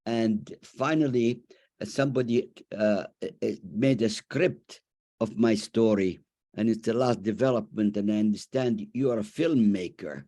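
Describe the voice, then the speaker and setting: A man in his nineties from Iraq, speaking haltingly as he comments and asks questions in an online discussion. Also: lowish voice, warm tone. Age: over 90. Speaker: audience member, memoir author. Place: remote online video call.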